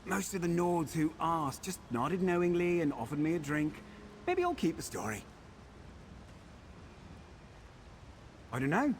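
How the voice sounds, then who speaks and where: An actor playing a fussy, pampered defector in a video game, wry and chatty with a faintly aggrieved manner. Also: male voice, fairly high pitch, very animated, normal speed, slight background noise. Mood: wry, musing. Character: fussy pampered defector from a wizard house, put-upon. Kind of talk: video game character dialogue.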